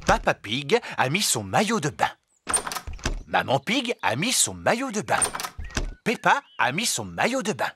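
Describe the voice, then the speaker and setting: A man's voice: fairly high pitch, huge pitch swings, unhurried. A man narrating a children's cartoon from a script. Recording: music bed behind the voice.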